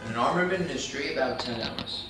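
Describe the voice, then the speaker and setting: Stage drama: man as unrepentant Nazi officer, clipped and formal, recorded from a distance with clear background noise. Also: medium pitch, quick pace, close to an everyday voice. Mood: matter-of-fact. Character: unrepentant former Nazi camp commandant on trial, matter-of-fact. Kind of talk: staged play reading. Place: indoor room at a live staged reading, distant mic. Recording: very echoey, noisy room, distant mic.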